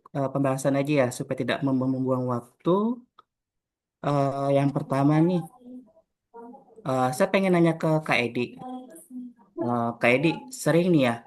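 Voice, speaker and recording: A young man from Jakarta hosting a casual group podcast, friendly and relaxed, often pausing on "eh". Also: mid-range voice. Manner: friendly, relaxed, frequent filler "eh". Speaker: podcast host.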